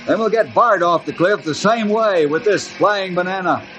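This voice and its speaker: A man's voice: high-pitched, moderate pace, a bit bright, faint music behind. A man playing a friendly, fatherly ranger leader with an instructive manner in an old-time radio adventure. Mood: reassuring, instructive. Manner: avuncular, instructive.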